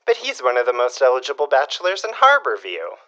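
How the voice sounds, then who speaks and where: A man's voice: medium pitch, huge pitch swings, fast, pushed and bright, dull audio. An actor playing a curious, folksy man with dry, old-fashioned turns of phrase, prying for gossip in a period audio drama. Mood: surprised, prying.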